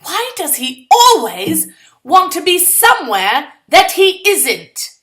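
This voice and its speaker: Exasperated twenties-era young woman played by a female reader, high and bright, sarcastic, dramatic inner monologue. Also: sing-song, takes it slowly, heavily acted. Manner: sarcastic, melodramatic. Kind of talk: dramatic first-person short story reading.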